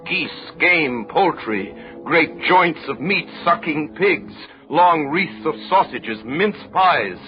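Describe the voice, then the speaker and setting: Male narrator, 1930s radio drama, measured storytelling, muffled old recording, light music. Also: fairly high pitch, animated.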